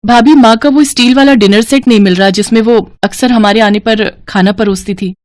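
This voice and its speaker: A woman's voice: on the high side, fairly even intonation, a little fast, darkish tone, no room sound, natural voice. Woman acting an earnest, sentimental grown daughter. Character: sentimental grown daughter and mother visiting her childhood home.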